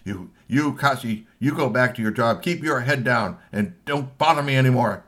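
A man puts on a hot-tempered middle-aged boss who barks stammered orders, pitched a bit above the teller's own voice and louder. He scolds in a storybook voice. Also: normal speed, lightly acted. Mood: angry. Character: furious male regional boss, middle-aged, bossy.

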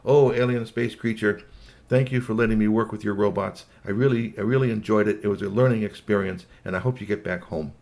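A man's voice: lowish voice, steady tone, a little mellow, natural voice. A man doing an effusively polite robot. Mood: grateful. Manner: effusively grateful, slow and formal. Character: very polite male ninja robot.

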